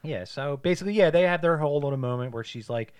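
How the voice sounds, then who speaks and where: A man's voice: a little high, quick pace, smooth, no echo. A man co-hosting a casual podcast, retelling movie scenes in crude language with "like" in nearly every phrase. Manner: animated, crude, says "like" a lot. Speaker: podcast co-host. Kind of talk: casual chat over a movie.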